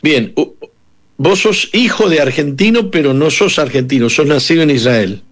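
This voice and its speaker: A man's voice: on the high side, animated, moderate pace. A man hosting a radio interview with a Uruguayan Spanish accent, asking long, wandering questions. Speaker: radio host.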